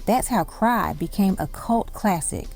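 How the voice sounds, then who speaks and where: A woman's voice: mid-range voice, animated, unhurried, vocal fry, darkish tone. A woman reading scripted documentary-style narration for a music countdown, in dramatic, punchy short phrases. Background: music behind the voice.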